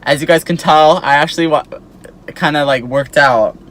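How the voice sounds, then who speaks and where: A man's voice: fairly high pitch, normal speed, a bit bright. An eighteen-year-old man chatting casually to his viewers as a YouTuber, peppering his talk with "like" and "um". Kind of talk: casual vlog chat to camera.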